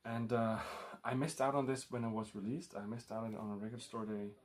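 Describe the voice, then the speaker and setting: A man's voice: mid-range voice, flat delivery, brisk. A man with a Danish accent, a native Danish speaker, casually showing off records in a rambling vlog full of ums and uhs. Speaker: record collector vlogger.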